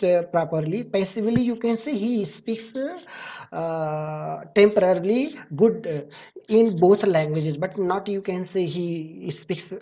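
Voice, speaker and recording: Low, dark-voiced Indian woman teacher. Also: highs cut off. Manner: halting, repetitive, rephrasing each point. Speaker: English literature teacher. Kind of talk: explanatory lesson on a short story.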